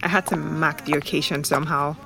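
A woman's voice: low voice, fairly even intonation, brisk, gravelly, noisy background. A woman playing a friendly, playful young office colleague in an audio drama. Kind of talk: naturalistic audio drama acting. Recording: music behind the voice.

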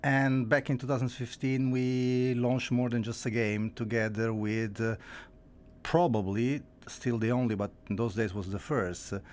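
A man's voice: medium pitch, little pitch movement, moderate pace, darkish tone. A middle-aged man from Italy with an Italian accent, a guest on an interview, talking casually with many fillers and laughs. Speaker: guest, law lecturer. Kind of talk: conversational interview.

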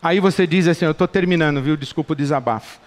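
A Brazilian man preaches with passion and a high voice. He builds his points through repetition, and his words ring out with a long reverb in a church hall. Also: fast. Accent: Brazilian Portuguese. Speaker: pastor. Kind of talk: sermon.

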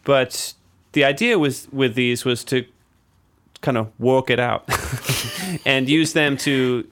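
Host, male, casual producer type, teasing, talks through ideas with pauses. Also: medium pitch, a bit bright. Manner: friendly, jokey, hesitant with fillers. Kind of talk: casual interview chat.

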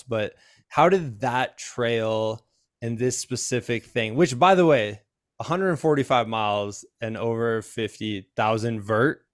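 A middle-aged man hosting a casual interview, with frequent short agreements and lively reactions. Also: medium pitch, no room sound, clean studio sound. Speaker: podcast host.

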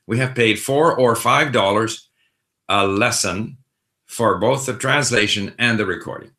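A man's voice: on the low side, measured pace, pushed and bright. A middle-aged man hosting a casual language-learning question session, talking in a thoughtful, conversational way with frequent fillers and restarts. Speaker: language-learning host answering viewer questions. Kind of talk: casual live Q&A. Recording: roomy echo.

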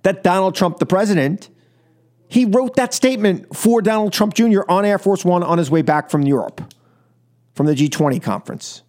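A man's voice: high-pitched, moderate pace, darkish tone. An American man hosting political talk radio, speaking emphatically and with exasperation, often repeating phrases and posing rhetorical questions. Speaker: radio talk show host. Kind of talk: political opinion monologue and caller talk on radio.

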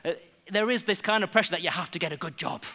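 A young man from England, preaching a sermon to his church in a lively way and joking with the congregation. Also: high voice, quick pace.

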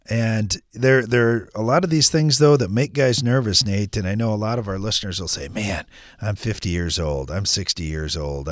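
A man's voice: on the low side, dry recording, clean studio sound. A man hosting a farm radio interview, asking friendly, joking questions. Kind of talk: casual interview.

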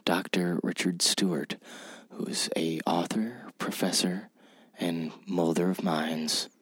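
A man playing a bumbling, pompous radio interviewer who speaks in a halting, repetitive, earnest way. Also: low-pitched, steady tone, a bit bright, slightly rough, no echo, full character voice. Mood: self-important, formal. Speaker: radio show host. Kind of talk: mock old-time radio interview show.

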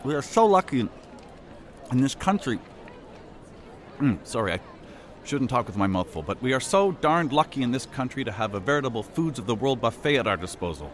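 A man's voice: medium pitch, big pitch swings, fairly quick, some chatter behind, natural voice. An actor playing a flustered, over-polite event organizer in a satirical audio drama, stammering and eager to please while hiding his exasperation. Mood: gushing.

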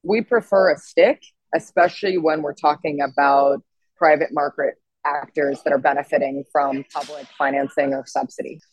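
Dry close audio, organizer being interviewed, androgynous voice, medium pitch. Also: steady tone, normal speed, no echo, muffled recording. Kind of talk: interview remarks.

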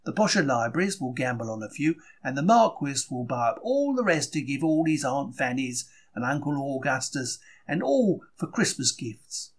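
A man's voice: on the high side, fairly bright tone, breathy edge, full character voice. A man reading a diary as a chatty, wry 1930s London bookseller, droll and anecdotal in manner. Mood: wry, matter-of-fact. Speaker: diary reader.

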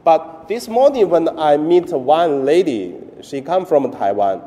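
Slow, mellow-voiced priest with Chinese accent. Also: male voice, fairly high pitch, animated, in a large hall. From mainland China. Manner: halting, broken English with pauses and fillers. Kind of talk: Sunday homily.

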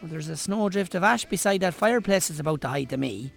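A man's voice: on the high side, expressive, fast, gravelly, faint music behind. A man playing a caring, teasing Irish friend in a radio drama, chatty and bantering. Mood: teasing, concerned. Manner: bantering, concerned. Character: caring, teasing male old friend, married. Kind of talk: naturalistic radio drama acting.

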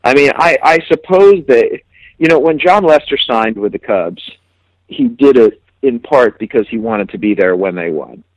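A man giving casual sports analysis as a guest, reflective and halting, repeating words as he thinks. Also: mid-range voice, lively intonation, no echo, rough recording.

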